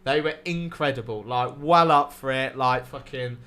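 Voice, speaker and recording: A young man from Wales with a southern English accent, telling sweary, animated stand-up stories on a casual podcast. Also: mid-range voice, moderate pace, clear, resonant tone. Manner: sweary, animated anecdotes with acted-out quotes. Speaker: stand-up comedian guest.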